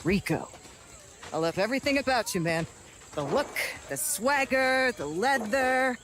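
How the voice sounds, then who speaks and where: A woman playing a flamboyant, self-important film director in a video game, gushing, theatrical and bossy as she talks fast. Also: on the high side, lively intonation, a bit bright, a little hiss or hum. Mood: brash, gushing. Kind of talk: video game cutscene acting.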